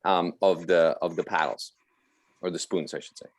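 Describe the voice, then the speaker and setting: A man's voice: low voice, fairly even intonation, moderate pace, no room sound. A man from the Czech Republic asking a question on a video call, hesitant and correcting himself as he goes. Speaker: conference attendee asking a question.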